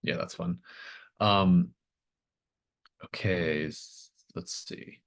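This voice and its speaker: A man running a tabletop horror game, improvising descriptive narration in a halting, thoughtful manner. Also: sits low, steady tone. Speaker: game master.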